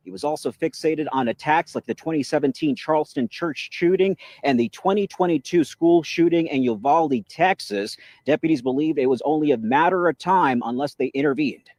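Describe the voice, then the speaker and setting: A man's voice: on the high side, normal speed, few pauses, darkish tone, no echo, rough recording. A man reporting on broadcast news as a television correspondent.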